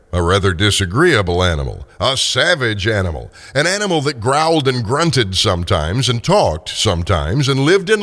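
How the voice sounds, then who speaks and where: An older man narrating a story dramatically, with theatrical, deliberate phrasing. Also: medium pitch, fairly bright tone. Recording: long reverberant tail on voice.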